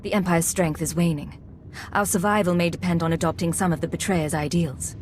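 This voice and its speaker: A woman's voice: lowish voice, steady tone, brisk, slight background noise, lightly acted. A woman playing an unflappable imperial secret agent in a video game, with a dry, controlled manner.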